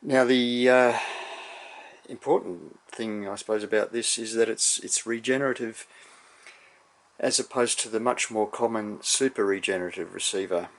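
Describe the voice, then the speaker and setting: A man giving an unscripted technical demonstration, explaining in a measured, somewhat hesitant way. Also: fairly low pitch, poor audio. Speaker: electronics hobbyist presenter.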